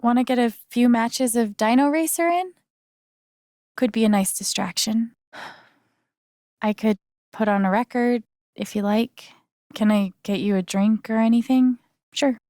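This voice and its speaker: Casting: actress as wry, candid young woman for a narrative game, slow conversational delivery, natural mid-range voice, very dry sound. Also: fairly high pitch, a little mellow, no echo, lightly acted. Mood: subdued, weary. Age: young adult. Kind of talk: naturalistic video game acting.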